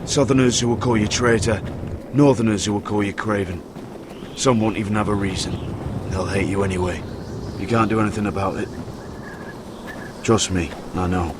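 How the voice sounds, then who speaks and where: A man's voice: fairly low pitch, fairly even intonation, moderate pace, long pauses, background noise, lightly acted. An actor playing an embittered, grieving young fighting man in a video game drama, speaking earnestly and broodingly. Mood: sober warning. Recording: loud rain and thunder ambience behind.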